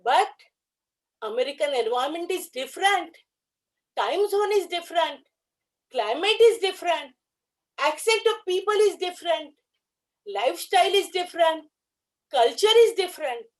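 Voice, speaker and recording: High-voiced woman giving a devotional tribute. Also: lively intonation, moderate pace, pauses often.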